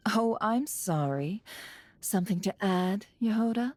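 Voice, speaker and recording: A woman's voice: medium pitch, expressive, measured pace, a little mellow, dry recording. An actress playing a shrewd, haughty businesswoman in a video game, dry and composed in her sarcasm, with music underneath. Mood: sardonic. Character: shrewd, haughty businesswoman boss, coolly sardonic.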